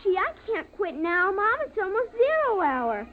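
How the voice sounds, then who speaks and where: A woman's voice: very high-pitched, very animated, normal speed. An actress playing a willful, breezy little girl in a 1950s radio drama, childish, offhand and defiant. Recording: tinny, noisy vintage radio recording.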